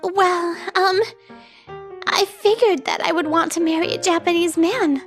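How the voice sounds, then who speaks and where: A woman's voice: very high-pitched, steady tone, unhurried. A woman voicing a strict but naive and earnest young teacher in a manga story dub. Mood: naive, curious. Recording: background music under the voice.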